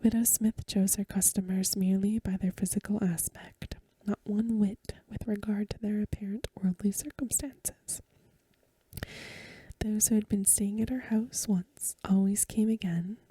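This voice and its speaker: A woman softly whispering a story for ASMR in a breathy voice, with gentle, careful phrasing, close to the microphone. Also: medium pitch, no echo. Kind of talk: soft-spoken ASMR story reading.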